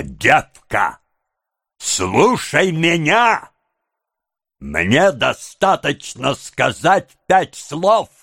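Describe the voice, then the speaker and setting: Man playing a slow, scheming old gang boss. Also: low-pitched, no echo, studio quality, heavily acted. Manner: slow, drawn-out, theatrical delivery. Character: scheming old male gang boss, wheedling, vicious when crossed. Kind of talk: dramatised audiobook character.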